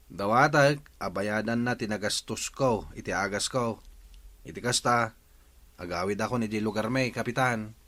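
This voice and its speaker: A man's voice: lowish voice, moderate pace, a bit bright, natural voice. A man playing a wheedling, troublesome villager in a comedy radio drama, with an Ilocano accent.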